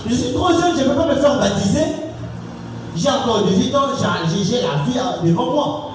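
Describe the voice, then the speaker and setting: A man's voice: very high-pitched, expressive, normal speed. A young man preaching to a youth gathering, repeating his points and calling for response. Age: young adult. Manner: repetitive exhorting, call-and-response. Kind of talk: sermon. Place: church. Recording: echoey hall from far off, music behind.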